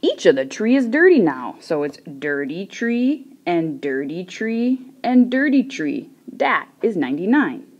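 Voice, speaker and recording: A woman voicing a cheeky male job-seeker with a put-on Norwegian accent, slow and pleased with himself. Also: medium pitch, sing-song, lo-fi sound, voice pushed up. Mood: smug.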